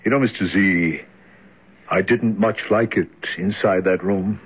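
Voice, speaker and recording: On a muffled old radio recording, a blunt, uneasy male underling. His voice is low and a little husky, plainspoken in radio-play style. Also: unhurried. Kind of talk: 1970s radio drama acting.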